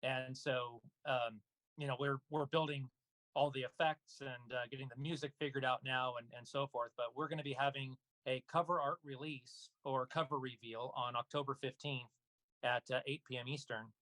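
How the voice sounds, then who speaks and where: A man speaking casually as an interview guest about his work, halting with frequent pauses and asides. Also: mid-range voice, fairly even intonation, sharp tone, no room sound, rough recording. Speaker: guest, voice actor and audio drama producer. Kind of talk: casual interview talk about own projects.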